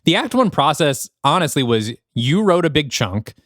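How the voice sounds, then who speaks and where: A man's voice: a little high, big pitch swings, moderate pace, fairly bright tone, dry recording, clean studio sound. A man co-hosting a podcast, chatting casually about writing and rambling as he thinks aloud. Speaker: podcast co-host and writer. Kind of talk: casual behind-the-scenes podcast chat.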